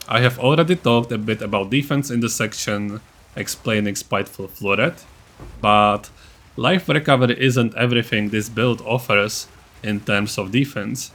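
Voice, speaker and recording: A man with a fairly low voice narrates a video game guide. He explains things methodically, point by point, in a scripted tutorial style. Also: a bit bright.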